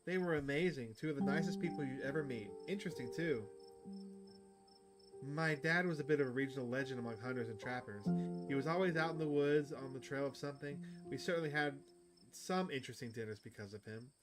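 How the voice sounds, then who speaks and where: A man's voice: medium pitch, brisk, loud music behind the voice, close to an everyday voice. A man voicing a chatty, upbeat outdoorsy woman who hunts cryptids, reading visual novel dialogue aloud. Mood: chatty, fond.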